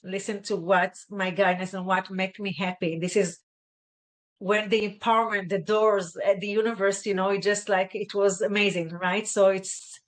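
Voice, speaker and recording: A middle-aged woman from Israel with an Israeli accent, talking earnestly and haltingly as a guest, often tagging "right?". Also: medium pitch, flat delivery. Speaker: guest, fashion stylist. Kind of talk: casual interview chat.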